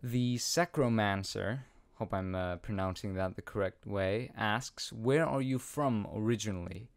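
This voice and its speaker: Young man, slow rambling home Q&A. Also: fairly low pitch, no room sound. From Iceland. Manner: rambling, self-deprecating, lots of ums and laughs. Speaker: channel host answering viewer questions. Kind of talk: casual Q&A chat. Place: small home room, indoors. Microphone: studio condenser mic with pop filter, wearing headphones.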